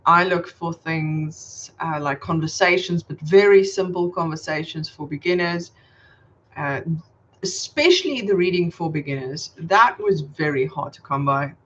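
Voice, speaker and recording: A native Afrikaans speaker from South Africa chats on a casual livestream, patiently explaining words and answering viewers. The voice sits at a middle pitch. The audio is a little dull and muffled. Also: unhurried, a bit bright. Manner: friendly, explaining patiently, reading viewer comments aloud. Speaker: channel host answering viewer questions. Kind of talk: casual livestream chat and language tips.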